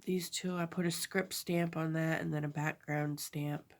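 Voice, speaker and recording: A woman with a stuffy nose from a cold, chatting through a craft tutorial at her table, halting often and correcting herself. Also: low-pitched, steady tone. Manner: halting, chatty, many fillers and self-corrections. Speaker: crafter demonstrating a project.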